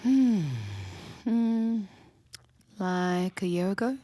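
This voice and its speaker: A woman playing a candid, hesitant young woman talking softly with a new lover in an intimate, naturalistic audio drama. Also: on the high side, extremely slow, dry recording, clean studio sound. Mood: hesitant, reflective.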